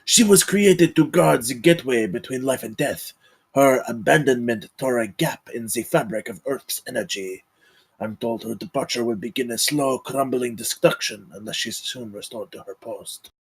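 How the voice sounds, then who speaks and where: A man playing a grave, courtly count in a screenplay table read, speaking formally and with measured solemnity. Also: mid-range voice, gravelly, slightly rough, rough recording. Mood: grave, ominous. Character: commanding, grave, courtly count leading a supernatural hunt.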